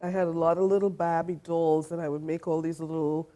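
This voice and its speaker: A middle-aged woman from Trinidad and Tobago, a fashion designer, answering interview questions in a soft, thoughtful way with many pauses and fillers. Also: low-pitched, little pitch movement. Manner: soft-spoken, thoughtful, many ums and uhs. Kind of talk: interview guest.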